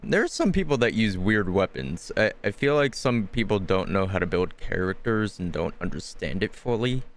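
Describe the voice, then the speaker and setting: A man's voice: deep voice, lively intonation, moderate pace. A man streaming a video game, giving casual commentary in a laid-back, offhand, joking way. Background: game music.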